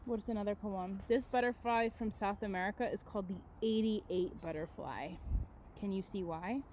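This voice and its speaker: Mellow-voiced woman teacher reading to children. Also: mid-range voice, normal speed, slight background noise. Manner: casual, conversational, engaging listeners with questions. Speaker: elementary school teacher. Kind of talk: children's read-aloud with chatty asides.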